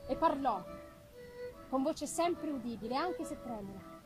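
Very high-voiced woman narrating solemnly. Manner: expressive, deliberate, solemn. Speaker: reader. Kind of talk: dramatic literary narration. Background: live music.

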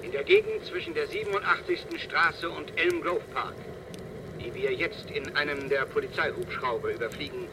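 A man's voice: a little high, sing-song, moderate pace. A male actor playing a sensationalist TV reporter in a radio play, giving urgent, emphatic live manhunt commentary. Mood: breathless excitement. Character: excited, sensationalist TV reporter covering a manhunt from helicopter. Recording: noisy broadcast with helicopter roar behind.

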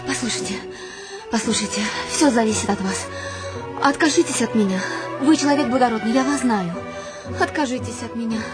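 Actress as high-voiced, pleading young ingénue. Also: female voice, a little fast, over loud music, lightly acted. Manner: melodramatic, imploring. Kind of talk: comic radio play acting.

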